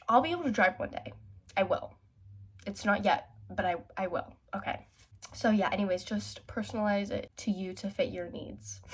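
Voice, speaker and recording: A woman's voice: mid-range voice, husky. A teenage girl with a stuffy, congested voice from a cold, chatting upbeat study tips at home for her vlog. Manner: chatty, self-talking, upbeat. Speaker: student vlogger.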